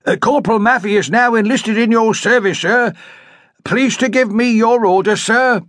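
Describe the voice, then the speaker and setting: A man voicing a stiff, formal corporal with halting, formal phrasing. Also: extremely high pitch, a bit bright, pitched above the performer's own voice. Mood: dutiful.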